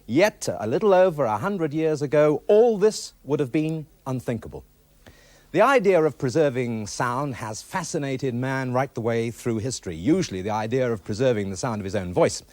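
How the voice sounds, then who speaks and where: British male presenter, scripted documentary narration, animated intonation, dry sound. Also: medium pitch, normal speed, dry recording. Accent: British English. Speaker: television presenter.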